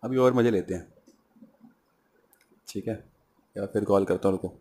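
A man's voice: fairly low pitch, normal speed, a little mellow. A man, chatting casually and arguing as a prank-call vlogger. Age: 20s. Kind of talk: casual chat and phone argument. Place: small furnished room at home, indoors. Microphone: small clip microphone held near the mouth.

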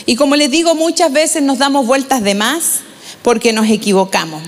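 A middle-aged woman pastor preaching and reading scripture aloud at a measured pace, into a handheld microphone on a large church stage. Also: high voice. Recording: long hall reverb.

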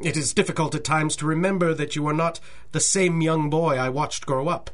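A man's voice: on the high side, steady tone, fairly quick, natural voice. Man playing an anxious, deferential older banker. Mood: grave, puzzled. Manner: formal, deferential, fussy. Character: anxious, deferential older male bank president. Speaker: actor in an audio drama. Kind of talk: 1930s-style radio drama acting.

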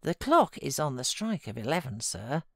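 A woman's voice: a bass voice, big pitch swings, normal speed, creaky, dry recording, clean studio sound, heavily acted. A British woman voicing a chatty, moralising female housekeeper in an audiobook. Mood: brisk, scolding. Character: chatty, scolding female housekeeper telling tales.

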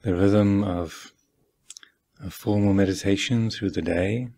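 Low, husky man guiding meditation slowly. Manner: calm, measured, deliberate with long pauses. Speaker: meditation teacher. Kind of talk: guided meditation instruction. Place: meditation hall at a retreat.

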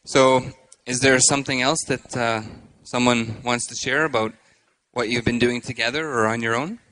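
A man in his thirties hosting a live interview on a handheld microphone, speaking haltingly with frequent pauses. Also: medium pitch, fairly even intonation, bright, forceful tone, low-quality recording. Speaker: host. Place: indoor stage with curtain.

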